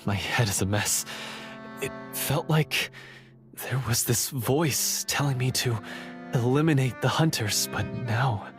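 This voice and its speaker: A man's voice: medium pitch, natural voice. Actor as a troubled, earnest man, music behind. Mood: bitter, confused. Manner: dramatic, halting, earnest. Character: troubled, earnest male heir of a monster-hunter family. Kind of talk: video game voice acting.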